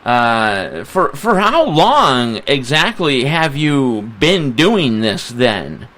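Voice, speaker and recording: Slow-paced American man hosting radio. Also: mid-range voice, big pitch swings, fairly bright tone. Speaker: radio talk show host. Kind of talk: live radio interview.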